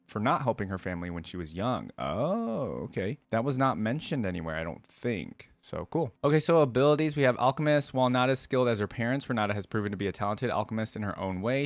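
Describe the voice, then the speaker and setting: A man's voice: fairly low pitch, no room sound. An American man hosting a reaction video, reading aloud and adding casual, conversational asides as he thinks aloud. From United States.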